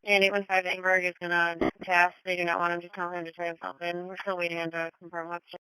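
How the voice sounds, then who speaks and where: A woman's voice: lowish voice, flat delivery, quick pace, pushed and bright, smooth, dry recording, low-quality recording. A woman working as an emergency dispatcher, giving incident details over two-way radio in a clipped, matter-of-fact way. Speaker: police and EMS radio dispatcher. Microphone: dispatch radio microphone. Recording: close dry police scanner radio audio.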